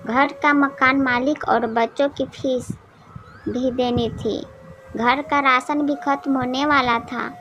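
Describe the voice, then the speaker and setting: Female narrator for a moral story, high register, unhurried pace, noisy recording. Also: fairly even intonation, fairly bright tone, dull audio. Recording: clearly noisy background.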